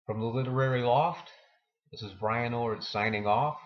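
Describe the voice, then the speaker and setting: A man hosting a poetry reading, talking and reciting in a measured, deliberate manner. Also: mid-range voice, lo-fi sound. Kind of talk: informal introduction and poetry recitation.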